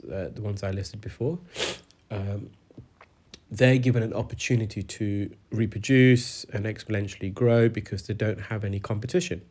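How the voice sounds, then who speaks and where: A man's voice: on the low side, darkish tone. A British man working as an audiologist, narrating a clinical demonstration with a stuffy, congested voice from a cold and frequent hesitant fillers. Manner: explanatory, hesitant with many fillers.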